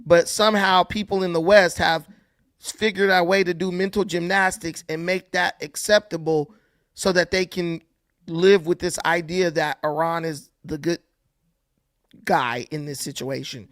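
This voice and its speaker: A man's voice: on the high side, dry recording, studio quality. An American man hosting a casual call-in chat, talking emphatically and firing off rhetorical questions. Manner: animated, quick asides, emphatic rhetorical questions. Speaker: show host.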